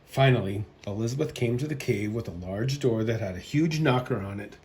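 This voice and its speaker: Male storyteller, mid-pitched, expressive picture-book read-aloud. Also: fairly quick, slight background noise. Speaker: storyteller, host.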